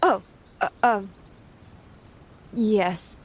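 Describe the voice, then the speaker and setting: A woman's voice: mid-range voice, extremely slow, breathy edge. An actress playing a polite, hesitant, overworked young female office worker in a video game. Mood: hesitant, nervous.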